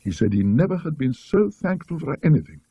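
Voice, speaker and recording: A man's voice: on the high side, big pitch swings, fairly quick, dark tone, slightly rough, highs cut off, heavily acted. A British man voicing an indignant, gossipy country lady in a storybook, emphatic and disapproving.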